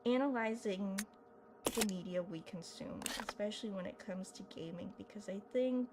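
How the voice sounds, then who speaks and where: A woman's voice: medium pitch, moderate pace, very noisy. A young woman hosting a gaming video, chatting casually and rambling with lots of fillers while she plays. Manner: chatty, rambling, self-deprecating, many fillers. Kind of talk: casual commentary while playing. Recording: loud game music behind voice.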